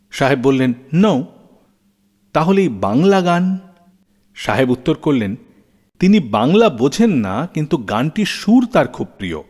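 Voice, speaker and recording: This is a male radio host, narrating scripted stories. His delivery is lively and dramatic, swinging up and down with deliberate pauses. The voice sits in long reverb over a music bed. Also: mid-range voice.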